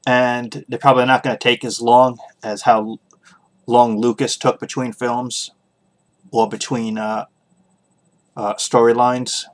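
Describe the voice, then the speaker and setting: A man hosting a podcast, giving casual solo opinions in a halting way, pausing often as he thinks aloud. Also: mid-range voice, level tone. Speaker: podcast host.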